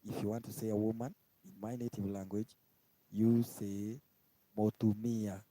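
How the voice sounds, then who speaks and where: A man from Kenya with a Kenyan accent, giving a casual language lesson and slowly repeating each word. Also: on the low side, level tone, warm tone, dry recording, poor audio. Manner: slow, repetitive, spells out each word twice. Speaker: language teacher. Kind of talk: informal live-stream language lesson.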